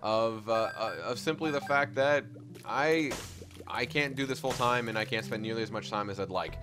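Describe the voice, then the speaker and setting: A man's voice: medium pitch, normal speed. A young man hosting a let's play, chatting casually and self-deprecatingly with many restarts while he plays. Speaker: gaming channel host. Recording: game music playing behind.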